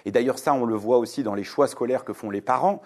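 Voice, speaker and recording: A man's voice: medium pitch, fast talker, darkish tone. A man in his forties, from Lebanon, a guest author answering interview questions fluently and with many hesitations. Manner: fluent, reasoned, many fillers. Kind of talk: radio interview.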